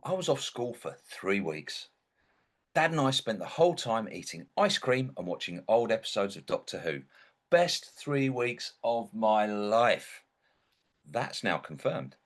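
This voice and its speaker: A man's voice: on the low side, sing-song, unhurried. Man acting a wry, swooping, sardonic ghost. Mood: wry musing. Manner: wry, theatrical, exclamatory. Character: indignant, sardonic dead young man narrating his own post-mortem. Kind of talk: dramatic monologue in a comic stage play.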